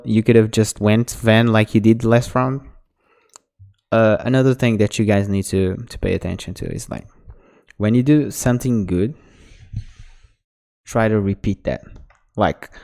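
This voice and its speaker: Low, mellow-voiced man coaching. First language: Portuguese. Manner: instructive, talks the viewer through mistakes. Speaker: game coach. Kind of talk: casual demo review commentary.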